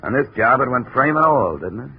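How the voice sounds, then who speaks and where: An American actor playing a dry, world-weary insurance investigator in a 1950s radio detective drama. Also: male voice, mid-range voice, very animated, fairly quick, vocal fry, slightly rough, heavily acted. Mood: dry, wry. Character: wry, hard-boiled male insurance investigator narrating his case. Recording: dull, hissy old radio recording.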